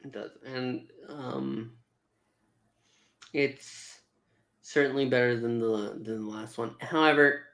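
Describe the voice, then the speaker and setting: A man's voice: medium pitch, expressive, slow pace, pauses often, fairly bright tone. A man co-hosting a podcast, chatting casually as a fan giving a review.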